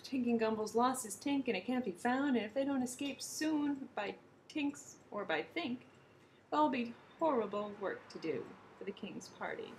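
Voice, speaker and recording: A woman's voice: on the high side, lively intonation, moderate pace, a little hiss or hum, natural voice, poor audio. A woman voicing a small, earnest male bush creature who frets and exclaims as he talks. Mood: worried.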